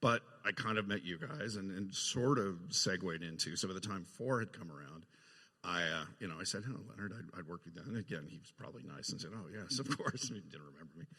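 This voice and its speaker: Low, bright-voiced man in echoey hall. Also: lively intonation, quick pace, husky. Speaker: panel guest, film effects artist. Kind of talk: casual anecdotal panel talk. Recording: echoey hall, live panel sound.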